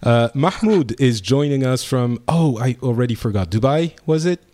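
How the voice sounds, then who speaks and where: A man's voice: medium pitch, expressive, normal speed, mellow. A man with a French accent hosting a casual group discussion, hesitant with many fillers and restarts. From France. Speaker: podcast host.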